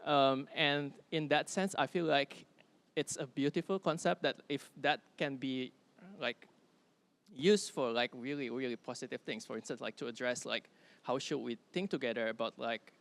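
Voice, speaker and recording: A man's voice: medium pitch, moderate pace, vocal fry, a bit bright. A young man curator speaking on a panel, searching for words with many fillers. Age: young adult. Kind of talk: panel discussion.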